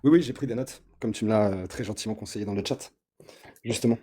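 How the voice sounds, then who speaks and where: A man joins a live online debate with a husky, mellow voice. He speaks hesitantly, with a dry, wry edge. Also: fairly low pitch, quick pace. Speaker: debate participant.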